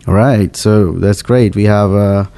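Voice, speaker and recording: Low, mellow-voiced man hosting an interview. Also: steady tone. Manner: halting, many fillers and restarts. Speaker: podcast host. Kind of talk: casual interview chat. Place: conference venue.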